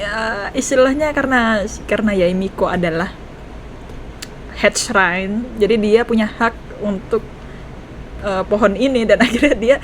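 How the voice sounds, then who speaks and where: Excitable Indonesian young woman gamer. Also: fairly high pitch, a little hiss or hum, low-quality recording. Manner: excitable, chatty, laughs often. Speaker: gaming streamer. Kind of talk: casual let's-play reaction. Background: game music.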